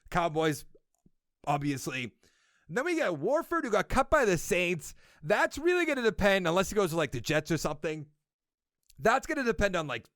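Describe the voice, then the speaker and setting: A man's voice: high voice, fairly bright tone, no echo, studio quality. A young man with a Boston accent hosting a casual sports podcast, rambling and thinking aloud as he corrects himself. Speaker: podcast host.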